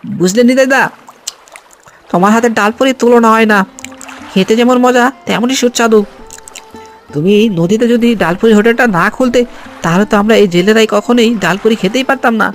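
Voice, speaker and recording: A man plays a friendly village fisherman in a cartoon, speaking. The voice is pitched high and sounds appreciative, over music. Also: a little fast, pauses often. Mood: admiring, friendly. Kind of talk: Bengali cartoon voice acting.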